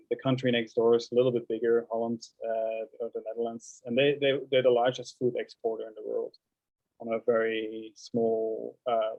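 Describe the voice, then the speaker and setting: A man's voice: mid-range voice, darkish tone, no room sound. A man from Belgium speaking as a panelist, earnest, often repeating words as he thinks. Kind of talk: panel discussion. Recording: dull dry sound.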